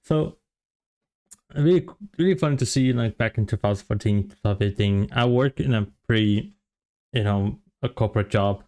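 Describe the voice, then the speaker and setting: A Brazilian man in his thirties whose low voice has a gravelly edge. He talks to his camera in a loose, rambling way, with plenty of "uh" and "you know" as he finds his words in his second language. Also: moderate pace, a little mellow. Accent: Brazilian Portuguese. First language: Portuguese. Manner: rambling with many fillers and restarts. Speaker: vlogger, software developer. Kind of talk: casual storytelling to camera.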